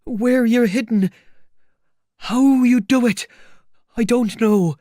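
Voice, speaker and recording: A man's voice: a little high, big pitch swings, a little slow, no echo, clean studio sound, pitched above the performer's own voice. A man voicing a grumbling, frightened middle-aged tramp in an audiobook, plaintive and exclamatory, stretching words for emphasis. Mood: exasperated.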